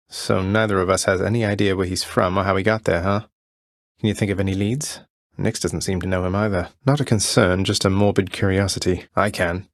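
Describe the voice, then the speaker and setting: A man playing a young underworld prince in a video game, friendly, courteous and gently wry. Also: deep voice, no room sound, clean studio sound. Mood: curious, wry.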